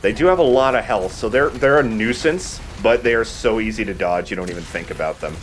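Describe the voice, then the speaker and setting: A man's voice: on the low side, fairly quick, slight background noise. A man giving casual, joking let's play commentary on a video game, swearing freely. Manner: profane, joking banter. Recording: game music behind. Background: video game music.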